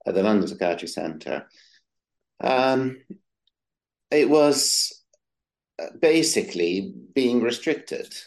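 A man's voice: mid-range voice, very animated, slow, vocal fry, long pauses. A middle-aged man from Egypt, a psychiatrist answering interview questions with many hesitant ums and uhs. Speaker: psychiatrist guest.

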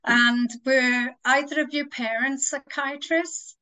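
Higher-voiced woman hosting an interview. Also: steady tone, slow pace, pushed and bright, low-quality recording. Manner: polite, formal introductions. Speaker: host.

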